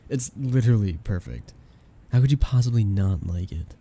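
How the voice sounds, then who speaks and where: A young man playing a playful, affectionate best friend in a casual ASMR roleplay, speaking in a soft, teasing, expressive way. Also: lowish voice, moderate pace, breathy edge. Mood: playful disbelief. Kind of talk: ASMR roleplay, casual intimate chat with listener.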